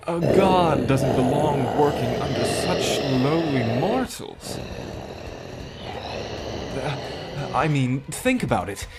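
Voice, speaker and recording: A man's voice: fairly high pitch, very noisy. A man playing a scheming, smarmy and cowardly villain broker in a dramatic audio drama.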